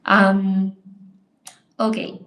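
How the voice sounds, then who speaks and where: A young woman teaching a live online English lesson, a little hoarse, praising viewers by name as she explains. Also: medium pitch, little pitch movement, very slow, a bit bright, reverberant. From Ireland.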